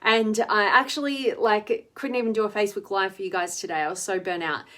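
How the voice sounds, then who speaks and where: A woman, slightly hoarse, hosting a live stream where she reflects on relationships, greets viewers and often ends her points with "right?". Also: medium pitch, steady tone, fairly quick, a bit bright. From Australia. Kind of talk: live-stream talk reading viewer comments.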